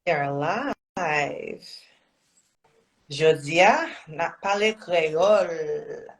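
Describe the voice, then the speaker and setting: Brief: woman from South Florida, low-pitched, unhurried, relaxed podcast co-host. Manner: thoughtful, laughs easily. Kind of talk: casual conversational podcast.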